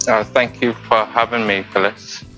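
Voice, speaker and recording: A man in his forties from the Bahamas, answering interview questions as a guest artist in a thoughtful, unhurried way. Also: on the low side, level tone, bright, forceful tone, faint music behind, rough recording. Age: 40s. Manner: thoughtful, unhurried, with pauses and restarts.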